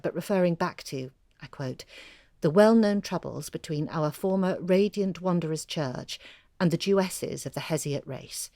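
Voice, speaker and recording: A woman's voice: low voice, warm tone, dry recording, clean studio sound. An actress playing a bookish woman writer who eagerly recounts her research in a confiding, articulate way, as intimate audio drama storytelling.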